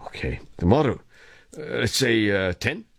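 A man acting in an audio drama as a weary, grieving former policeman, brooding and hesitant, with a husky, gravelly voice. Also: fairly low pitch, expressive, moderate pace, dry recording. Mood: subdued, friendly. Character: grieving, weary male ex-policeman, confiding and troubled.

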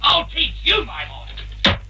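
An actor playing a flustered, stuttering schoolmaster in a vintage radio comedy. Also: male voice, extremely high pitch, huge pitch swings, takes it slowly, creaky, noisy background, exaggerated voice. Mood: blustering. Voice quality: stammering, exasperated.

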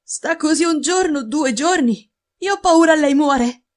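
A woman's voice: high voice, unhurried, pitched above the performer's own voice. A woman reader voicing a frightened, indignant young maid who pleads agitatedly in broken sentences in an audiobook story. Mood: fearful.

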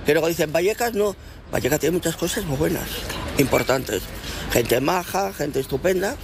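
Older local man, earnest, gravelly voice, street traffic loud behind. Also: a little high, lively intonation, moderate pace. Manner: earnest, defends his neighbourhood. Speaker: local resident. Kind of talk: street interview.

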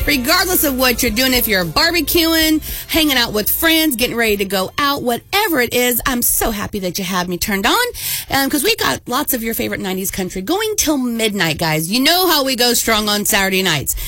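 A woman radio DJ with a scratchy, hoarse voice from a head cold, chatting casually and laughing often. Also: on the high side, sing-song, brisk, sharp tone, no echo, faint music behind. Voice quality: scratchy, raspy. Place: radio studio. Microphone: studio mic.

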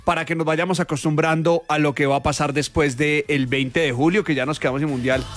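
A man hosting a morning show, teasing his co-hosts with quick questions in casual banter. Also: fairly high pitch, fairly even intonation, soft background music.

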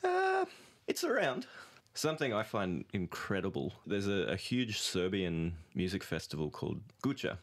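A man's voice: low-pitched, expressive, normal speed, hardly any pauses. A man chatting casually as a musician being interviewed, in a jokey way with a ready laugh. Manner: jokey, laughs often while talking. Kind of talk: casual chat.

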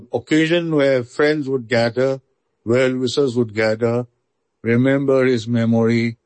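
A man with an Indian accent, slowly and reflectively recalling a loss for a news feature. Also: mid-range voice, darkish tone, no room sound. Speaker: interviewee. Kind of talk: recorded remembrance.

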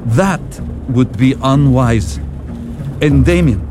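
An actor playing a grave, authoritative bishop who gives measured instructions in an audio drama, with music beneath. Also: male voice, medium pitch, background noise, close to an everyday voice.

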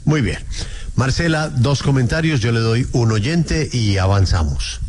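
A man's voice: medium pitch, expressive, a little slow, a little hiss or hum. A man hosting a radio show, teasing his co-hosts and steering the talk. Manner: teasing, leads the segment. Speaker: radio host. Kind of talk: casual radio chat.

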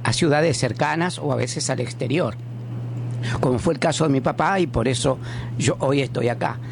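A man's voice: medium pitch, moderate pace. A man from Italy, asking questions conversationally and searching for his words. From born in a small mountain village in Italy. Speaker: doctor putting questions to a guest. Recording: clearly noisy background.

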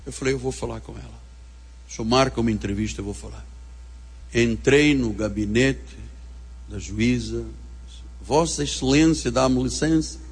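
A man's voice: medium pitch, normal speed, long pauses, husky, long reverb tail. A man with a Portuguese accent, preaching a fervent sermon and telling a personal story. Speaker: pastor.

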